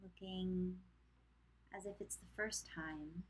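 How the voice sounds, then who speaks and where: A woman leads a guided meditation with a slightly low, even voice. She speaks very slowly and softly husky, leaving long pauses between short, calm instructions, as a yoga teacher would. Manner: calm, slow, gentle cueing with long pauses.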